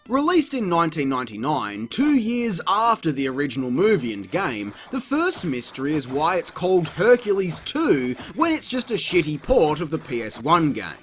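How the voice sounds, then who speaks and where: A man's voice: fairly high pitch, a bit bright. A man giving comedic, partly scripted video review commentary in an exclamatory, sarcastic, crude way. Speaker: video game reviewer, host.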